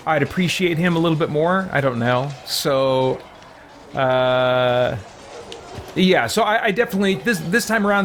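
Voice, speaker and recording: A man's voice: fairly high pitch, a bit bright, a little hiss or hum. A man giving casual live-stream game commentary, rambling as he thinks aloud and acts out lines. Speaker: streamer playing a roleplay game. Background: music.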